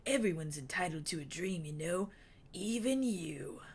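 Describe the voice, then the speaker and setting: A woman voicing a sad, wise, eccentric wandering man, speaking softly and slowly in a hushed, husky voice. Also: fairly low pitch, lively intonation. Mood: gentle, wistful. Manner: soft, hushed, drawling delivery.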